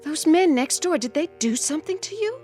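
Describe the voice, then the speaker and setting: Careful suburban mother, middle-aged, animated higher voice, radio drama with light music behind. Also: a little fast. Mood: worried. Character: anxious, soothing suburban wife and mother. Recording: music underneath the voice.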